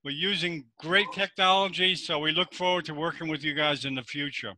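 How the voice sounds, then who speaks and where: A man hosting an online neurosurgery meeting, chatty and quick to repeat short words. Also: a little high, sharp tone, a little hiss or hum, low-quality recording. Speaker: host of a neurosurgery webinar. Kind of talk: casual chat.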